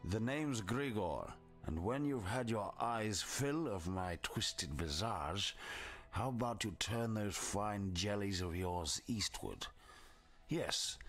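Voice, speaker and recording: A man's voice: fairly low pitch, fairly bright tone, full character voice. An actor playing a bitter, disfigured outcast in a video game, sardonic and florid in his wording. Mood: bitter, wary. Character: bitter, disfigured male outcast with reluctant soft spot. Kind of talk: scripted game character dialogue. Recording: music behind the voice.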